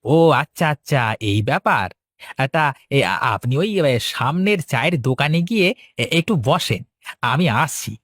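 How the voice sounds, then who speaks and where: পুরুষ কণ্ঠে প্রাণবন্ত, খসখসে গলার গ্রাম্য সবজি বিক্রেতা. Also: male voice, medium pitch, expressive, unhurried, a bit bright, no room sound, studio quality. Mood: businesslike. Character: chatty, eager Bengali village vegetable seller and husband. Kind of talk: cartoon story dialogue.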